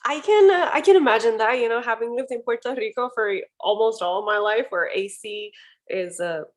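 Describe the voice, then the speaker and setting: A woman's voice: fairly high pitch, a little fast. A woman co-hosting a casual crochet podcast, friendly and rambling, often restarting her sentences. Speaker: podcast co-host. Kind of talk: casual chat. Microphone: video call recording.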